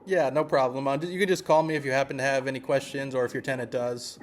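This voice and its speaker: A man playing an earnest, mild-mannered association representative on a phone call, speaking politely and hesitantly with a deadpan delivery. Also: mid-range voice, quick pace, close to an everyday voice. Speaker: prank caller posing as an activist group representative. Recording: noisy phone-call recording.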